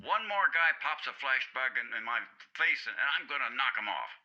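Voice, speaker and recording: A lo-fi telephone line carries a menacing gangster played by a man. He is touchy and tough, spitting slangy threats in a hard, piercing, highly animated voice, in the manner of old radio crime drama. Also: fairly quick. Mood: irritated, threatening. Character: menacing, touchy male gangster who hates reporters. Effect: telephone filter. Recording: narrow, lo-fi phone-line sound.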